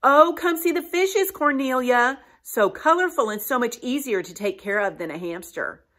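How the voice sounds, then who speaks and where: A woman's voice: sits high, lively intonation, normal speed, a bit bright, voice pushed up. A woman voicing a fussy mother who coaxes her children toward other pets in a storybook read-aloud. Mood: coaxing. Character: fussy mother steering her kids away.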